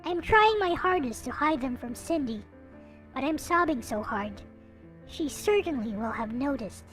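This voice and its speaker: A woman reading a visual novel aloud in an expressive, theatrical way, like a dramatic narrator. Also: high voice, unhurried. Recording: music playing behind the voice. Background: visual novel soundtrack music.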